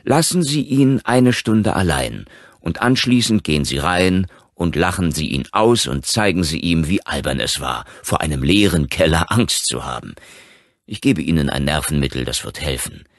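A man playing an impatient, authoritative doctor who speaks briskly in an audiobook. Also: rumbling low, big pitch swings, dry recording, clean studio sound, exaggerated voice. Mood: firm. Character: confident, impatient male small-town doctor.

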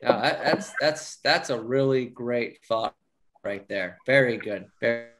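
A man's voice: medium pitch, unhurried. A man in his thirties, hosting and coaching casually on a group video call, encouraging and repeating his points. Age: 30s. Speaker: roundtable host, coach. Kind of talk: casual meeting moderation. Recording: noisy video call with clicks.

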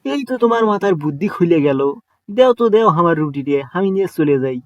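A broke young cartoon trickster, played by a man, who is always scheming. He speaks with a Bogura accent in a high, cheeky voice. His banter is full of teasing. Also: animated, quick pace, no echo, dull audio, soft background music. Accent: Bogura dialect of Bangla. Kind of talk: cartoon comedy voice acting.

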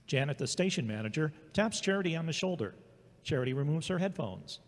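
Casting: male drama narrator, higher-pitched voice, scripted read, long reverb. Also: lively intonation, a little fast. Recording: echoey hall-like reverb.

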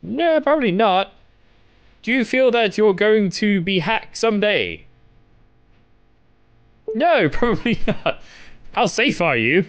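A man's voice: high-pitched, very animated, slow, pauses often. A young man doing casual, playful let's-play commentary, reacting and reading game text aloud. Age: young adult. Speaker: gaming video host.

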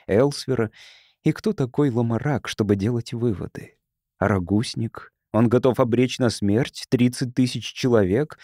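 A man narrates a science fiction audiobook in a soft, mellow voice at a middle pitch. The sound is dry and close, with scripted, measured storytelling delivery. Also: no echo.

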